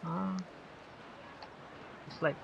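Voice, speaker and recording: A game streamer giving casual, slangy live commentary in halting, muttered reactions. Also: lo-fi sound, a little hiss or hum. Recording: loud game music and effects behind.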